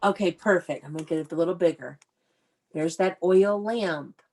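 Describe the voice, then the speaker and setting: A woman's voice: on the low side, normal speed, darkish tone. A woman working as an elementary school teacher, leading an interactive lesson for young children with encouraging praise and step-by-step instructions.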